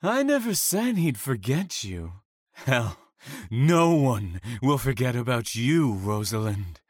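A man performs a smug serial killer for an audio drama. He is coldly menacing and theatrical, drawing out his words slowly with mocking formality. His pitch swoops widely, and the sound is close and dry. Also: big pitch swings, no room sound. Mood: gloating.

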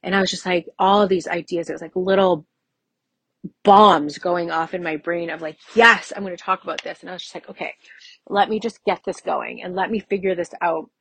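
A woman's voice: fairly low pitch, fairly even intonation, moderate pace, gravelly, slightly rough, no room sound. A woman in her mid-forties hosting a solo podcast, talking in a personal, conversational way and repeating phrases for emphasis. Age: mid-40s. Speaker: podcast host.

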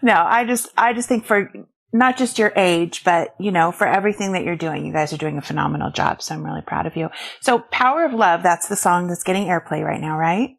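Gravelly fifty-year-old woman host. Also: on the low side, a little fast. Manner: effusive, complimentary. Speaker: podcast host. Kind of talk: casual interview chat.

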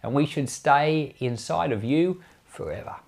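A man's voice: a little high, lively intonation, measured pace, creaky, slightly rough. Earnest pastor man retelling a story. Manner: informal storytelling with many restarts. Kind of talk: Bible commentary and prayer.

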